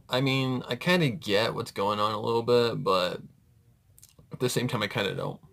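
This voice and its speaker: A man's voice: fairly low pitch, bright, forceful tone. A man giving casual, off-the-cuff reaction commentary, rambling and often correcting himself. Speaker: reaction video host.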